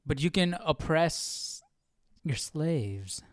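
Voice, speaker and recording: A man's voice: a little high, expressive, drawn-out words, no room sound. Casual questioning man on a dry mic. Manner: puzzled, questioning, informal slangy talk. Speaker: livestream Bible study host. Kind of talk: casual spontaneous commentary while reading.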